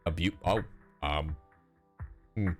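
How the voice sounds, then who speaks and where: A streamer chatting casually and reacting to a game in a playful, sarcastic, expressive way. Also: low voice, slow. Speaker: streamer, VTuber and voice actor. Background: background music.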